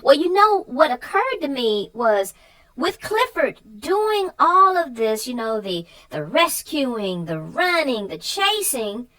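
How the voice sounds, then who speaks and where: A woman's voice: high-pitched, sing-song, slow, fairly bright tone, poor audio. A woman chatting casually and emphatically as a guest co-host on a children's book show. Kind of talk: casual chat about a picture book.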